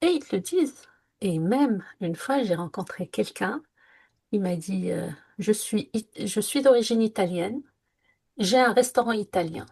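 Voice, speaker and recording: Woman, podcast co-host; gravelly, slightly husky, reflective, hesitant delivery. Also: medium pitch, lively intonation, measured pace, a little mellow. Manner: reflective, earnest, many hesitations. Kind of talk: casual conversational podcast. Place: lived in France.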